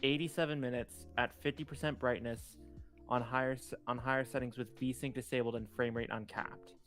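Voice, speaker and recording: A man's voice: medium pitch, fairly even intonation, brisk, fairly bright tone, soft background music. A man co-hosting a gaming podcast, talking casually about games and tech. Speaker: podcast co-host.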